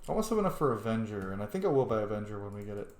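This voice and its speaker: A man doing casual let's-play commentary, thinking out loud as he plays a video game. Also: on the low side, very animated, very fast. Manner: thinks out loud, muttering item names. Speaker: video game player narrating a roleplay playthrough. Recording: roomy echo, background noise.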